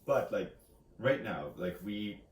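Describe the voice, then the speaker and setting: A man's voice: fairly low pitch, lively intonation, slow. A man co-hosting a casual book chat, retelling things in a rambling way and often acting out quotes. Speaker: co-host of a book review channel. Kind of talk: casual chatty book discussion. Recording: roomy echo, distant mic, faint hiss.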